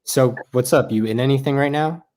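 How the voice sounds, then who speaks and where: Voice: mid-range voice, brisk, darkish tone, close to an everyday voice. An actor playing an awkward, eager young adult catching up with an old friend in naturalistic play dialogue, hesitant and rambling. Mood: awkward, friendly. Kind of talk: naturalistic play dialogue over video call.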